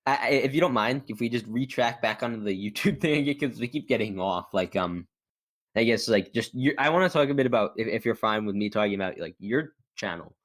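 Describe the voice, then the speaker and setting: A man's voice: mid-range voice, animated, fairly quick. A man chatting casually as a podcast host and YouTuber, in a rambling, stop-start way with frequent stammers. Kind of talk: casual chat interview.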